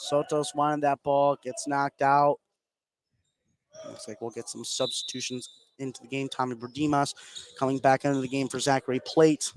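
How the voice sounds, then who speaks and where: A man's voice: medium pitch, little pitch movement, moderate pace. A man doing live play-by-play commentary of a basketball game for radio. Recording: clear background gym noise. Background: gym ambience of a live game.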